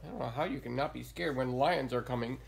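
A man's voice: mid-range voice, quick pace, creaky. A middle-aged man playing a timid, questioning sidekick in a live children's puppet show. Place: small indoor puppet theater. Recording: noisy indoor recording.

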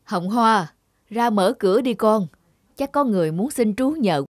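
A woman voicing a kindly elderly mother in an animated fairy tale, caring and gently instructing. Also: mid-range voice, a little slow, dry recording, clean studio sound, natural voice. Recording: music under the voice.

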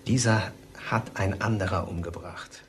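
An actor playing a middle-aged serial killer tormented by his mother, confessing haltingly in a crime radio drama. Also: low voice, slight background noise. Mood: subdued, insistent. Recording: music underneath the voice.

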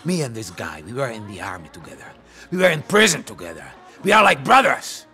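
Video game Russian mobster: middle-aged, menacing, self-pitying, Russian accent, big pitch swings, hard forceful delivery. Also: male voice, very animated, fairly quick, soft background music. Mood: brooding menace. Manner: brooding mutters swinging into explosive shouting.

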